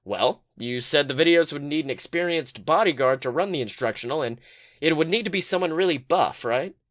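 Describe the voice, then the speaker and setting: A man's voice: on the high side, lively intonation, fairly quick, fairly bright tone. Male narrator as condescending, bored young man, smug and skeptical, comic storybook dialogue. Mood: toying.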